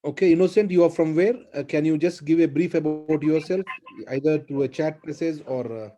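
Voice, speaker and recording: A man's voice: a little high, a little fast. A man hosting an online training webinar, encouraging the attendees and repeating words of praise, with an African English accent. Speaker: webinar host. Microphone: video call.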